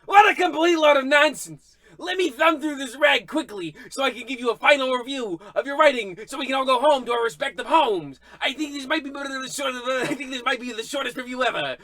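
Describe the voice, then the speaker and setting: Pompous, sputtering book reviewer, male actor: scornful, high and sharp, radio comedy play. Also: a little fast, few pauses. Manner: haughty, indignant, sputtering. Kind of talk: old radio comedy acting.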